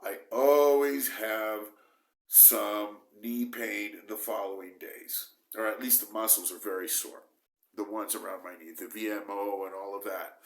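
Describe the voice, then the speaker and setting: Hoarse middle-aged man with a cold, roomy sound. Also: on the low side, measured pace. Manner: emphatic and repetitive, animated bursts. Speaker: bodybuilding vlogger. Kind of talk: casual talk to camera. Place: small dim room indoors. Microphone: camera close at face, moving. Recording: roomy echo.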